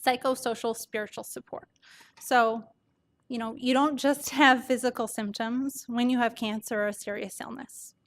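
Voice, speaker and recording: A soft-voiced woman doctor gives an informal medical presentation to an audience, explaining things conversationally. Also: fairly high pitch, steady tone, normal speed. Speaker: palliative care doctor presenting.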